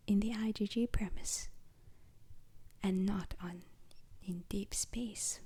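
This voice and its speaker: A woman playing a calm, formal space-agency instructor in an ASMR roleplay, lecturing in a breathy whisper with deliberate pauses. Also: mid-range voice, exaggerated voice. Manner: slow, deliberate, hesitant pauses, formal wording.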